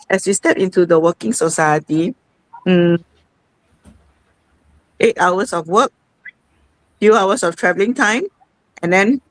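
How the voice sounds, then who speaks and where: Woman host with a lilt, lowish voice, chatty live-stream delivery. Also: no echo, poor audio. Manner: chatty, repeats itself, says "okay" a lot. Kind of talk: casual live-stream sharing.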